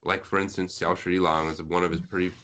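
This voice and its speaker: A man's voice: low-pitched, flat delivery, fairly quick, fairly bright tone, lo-fi sound. A man speaking as a podcast guest in casual conversation, explaining things in a talkative way. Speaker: guest on a podcast, web novel translator.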